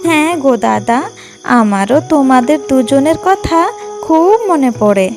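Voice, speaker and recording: A woman playing a sweet, obedient young sister in a cartoon fairy tale, gentle and apologetic. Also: sits high, lively intonation, unhurried. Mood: affectionate. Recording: music behind the voice.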